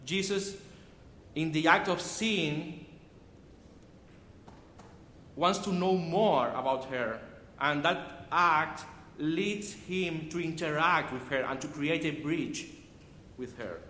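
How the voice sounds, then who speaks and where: Cuban guy preaching slow in a big echoey church, spanish is his first language, kinda high voice, earnest, restarts words a lot. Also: pushed and bright, slight background noise. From Cuba. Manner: measured, earnest delivery with frequent restarts. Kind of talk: sermon. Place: church sanctuary. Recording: echoey hall from far off.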